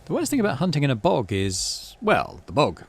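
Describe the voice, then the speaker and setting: A man, middle-aged, hosting a game playthrough, mixing casual commentary with expressive story reading. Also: medium pitch, a little fast, gravelly, slightly rough. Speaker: let's play host.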